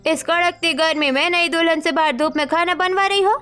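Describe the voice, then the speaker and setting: A woman's voice: extremely high pitch, brisk, pushed and bright, soft background music. A woman voicing a reproachful but sympathetic village neighbour in a cartoon story. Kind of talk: cartoon moral story acting.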